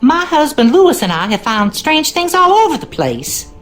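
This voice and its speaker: Folksy Southern cleaning woman, animated. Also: high voice, normal speed, faint music behind. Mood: knowing, conspiratorial. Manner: folksy, knowing, ominous. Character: superstitious, wry Louisiana cleaning woman. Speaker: game character, cleaning lady. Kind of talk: video game character dialogue.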